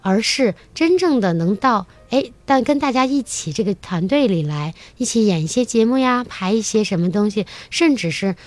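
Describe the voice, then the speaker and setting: A woman's voice: mid-range voice, moderate pace, few pauses, no room sound. A woman, a children's musical theatre teacher, chatting enthusiastically as a talk-show guest and acting out bits of conversation as she tells stories. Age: adult, mother of a young child. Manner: enthusiastic, animated storytelling with acted-out quotes.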